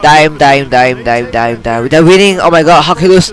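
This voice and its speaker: A young man on a headset mic giving excited, trash-talking commentary while playing a basketball video game in a small room. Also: fairly high pitch, moderate pace. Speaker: gamer.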